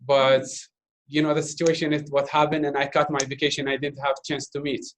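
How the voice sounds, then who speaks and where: A man in his thirties, a native Arabic speaker from Iraq, answering interview questions in halting English full of fillers and restarts. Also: fairly high pitch, flat delivery, slight background noise, poor audio. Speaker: interview guest, doctoral student. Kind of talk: casual Q&A talk.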